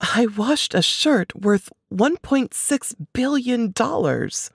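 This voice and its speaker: A woman voicing an incredulous, high-pitched wife, lively swings. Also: takes it slowly, creaky, slightly rough, no echo, clean studio sound, full character voice. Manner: insistent, questioning, exclaiming. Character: startled, incredulous, bossy young wife in suspense story. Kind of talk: audiobook character dialogue.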